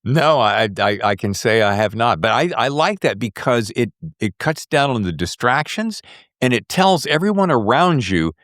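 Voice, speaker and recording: A man's voice: medium pitch, big pitch swings, moderate pace, a bit bright, no room sound, clean studio sound. A man hosting a podcast, interviewing in a chatty way with emphatic, showy phrasing. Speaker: podcast host.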